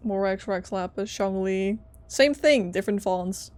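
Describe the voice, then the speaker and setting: A woman's voice: mid-range voice, a little slow, darkish tone. A woman game streamer reacting casually to a story scene as she plays.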